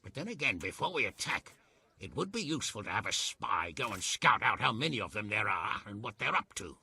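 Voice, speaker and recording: An actor playing a gruff, hot-tempered medieval lord in a game, with a raspy, gravelly voice and blustery manner. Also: male voice, lowish voice, very animated, brisk, pushed and bright, full character voice. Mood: gruff, forceful. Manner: blustery, sardonic. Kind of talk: video game cutscene acting.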